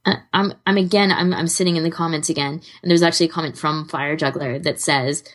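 A woman's voice: low-pitched, fast talker. A woman co-hosting a podcast in casual conversation, rambling and often restarting her sentences. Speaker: podcast co-host.

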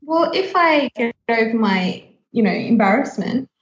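A woman's voice: on the high side, lively intonation, measured pace. A woman co-hosting a casual podcast, chatting in a playful, teasing way. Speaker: podcast host. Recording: dull, roomy, echoey sound.

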